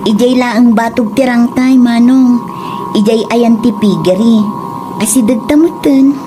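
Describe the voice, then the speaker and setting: A woman's voice: on the high side, a little slow, rough recording. A woman acting in a comedic audio drama as a chatty friend and a polite passenger. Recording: music bed behind the voice.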